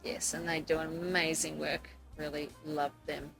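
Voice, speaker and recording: A woman's voice: sits low, flat delivery, a little slow, creaky, a bit bright, soft background music. A woman hosting a podcast, interviewing guests in a conversational way. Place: couch in a room in Nakasi.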